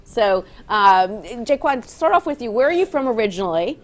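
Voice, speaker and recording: A woman's voice: a little high, very animated, moderate pace. A woman hosting a studio talk show, upbeat and chatty, laughing often as she asks rambling questions. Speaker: host. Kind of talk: casual studio chat. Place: radio and TV studio.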